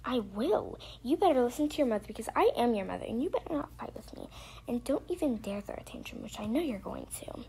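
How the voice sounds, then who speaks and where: A woman's voice: a little high, lively intonation, moderate pace, darkish tone, slightly rough, exaggerated voice, low-quality recording. Woman playing a bossy, exasperated mother. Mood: stern. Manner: bossy, scolding, impatient. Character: stern, exasperated mother scolding her young daughter. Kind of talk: pretend-play family roleplay.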